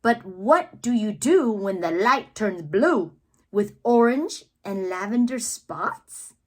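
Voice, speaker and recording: A woman reading poems aloud for children in a theatrical way, drawing out words and pausing between phrases. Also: medium pitch, big pitch swings. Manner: theatrical, drawn-out words with pauses. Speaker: read-aloud host, children's reader. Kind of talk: expressive poetry read-aloud for children.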